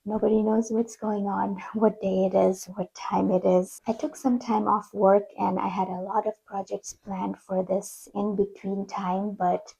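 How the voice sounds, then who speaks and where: A woman in her thirties narrating her sewing vlog in a chatty, self-deprecating way, her voice still croaky from the flu. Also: mid-range voice, little pitch movement, measured pace, hardly any pauses, warm tone, rough recording. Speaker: sewing vlogger.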